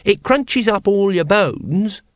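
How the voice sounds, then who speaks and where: A man's voice: high voice, expressive, takes it slowly, no room sound, studio quality, pitched above the performer's own voice. A man voicing a small, naive child who chats cheerfully and matter-of-factly about gruesome things in a storybook. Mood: happily morbid.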